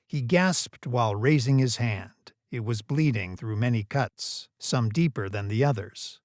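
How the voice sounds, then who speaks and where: A man's voice: medium pitch, unhurried, dry recording, clean studio sound. A man reading scripted third-person story narration as the narrator.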